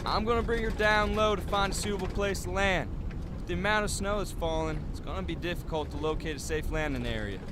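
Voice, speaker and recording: A man playing a steady, businesslike American bomber captain in an amateur radio drama, matter-of-fact and commanding. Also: high-pitched, quick pace, close to an everyday voice. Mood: focused. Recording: very loud engine-like noise behind.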